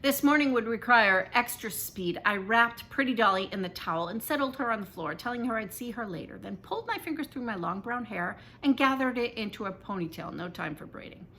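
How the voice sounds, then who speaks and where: A woman reading a first-person children's story aloud in an expressive, dramatic and emphatic way. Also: fairly high pitch, brisk, hardly any pauses, rough recording. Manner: animated, dramatic, emphatic delivery.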